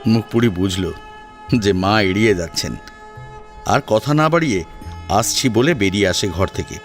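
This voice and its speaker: A man's voice: fairly low pitch, expressive, moderate pace, soft background music. A man narrating a scripted family drama as a storyteller, in a dramatic audio-story style.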